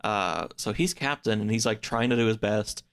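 A man in a casual podcast chat, recapping a story in a halting, hedging way. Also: fairly low pitch, fairly bright tone, smooth. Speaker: podcast speaker discussing books.

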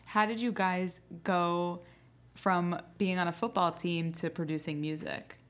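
A woman's voice: lowish voice, fairly even intonation, measured pace, fairly bright tone. A woman hosting a podcast, interviewing guests in a casual, conversational style. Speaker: podcast host.

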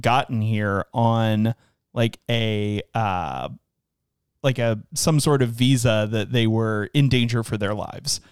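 A man's voice: medium pitch, clean tone, no echo, clean studio sound. A man co-hosting a movie podcast who explains things at length, full of hesitant fillers. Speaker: podcast co-host. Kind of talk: casual movie discussion.

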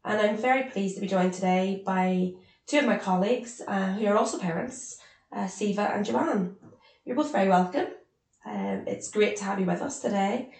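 Woman hosting, gravelly edge. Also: medium pitch, moderate pace, a little mellow. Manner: welcoming, steering the talk. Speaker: podcast host and service lead. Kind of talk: hosting a podcast. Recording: echoey room.

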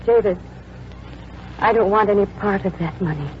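A woman's voice: mid-range voice, moderate pace, lightly acted. A woman playing a worried, principled farm wife with an earnest manner, in 1940s radio drama style. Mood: troubled, pleading. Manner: earnest, pleading. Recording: muffled, noisy old radio broadcast.

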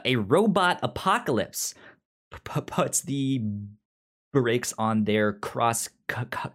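Low-voiced man with a strong stutter. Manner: heavy stutter with repeated first sounds. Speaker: podcast co-host. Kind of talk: casual podcast chat.

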